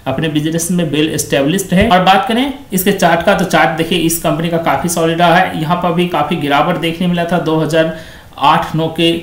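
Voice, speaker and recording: A man's voice: fairly high pitch, fairly even intonation, a little fast, reverberant. A man hosting a stock market video, talking through company figures on a shared screen in a conversational way that keeps repeating the same stock praise phrases.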